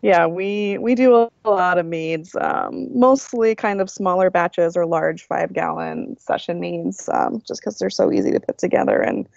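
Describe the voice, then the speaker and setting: Gravelly low-voiced woman, casual chat. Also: moderate pace, few pauses, darkish tone, no room sound. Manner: chatty, restarts, laughs easily. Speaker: podcast guest, brewer. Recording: dull lo-fi call audio.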